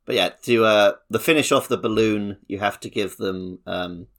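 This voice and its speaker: A man's voice: fairly low pitch, moderate pace, a bit bright. A man co-hosting a casual video game podcast, chatting informally. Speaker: podcast co-host.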